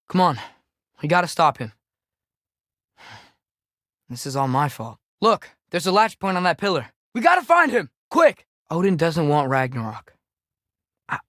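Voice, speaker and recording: A young male actor playing an earnest, impulsive teenage boy archer in a video game, expressive and youthful. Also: on the high side, no echo, clean studio sound. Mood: urgent, then earnest, pleading. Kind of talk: video game character dialogue.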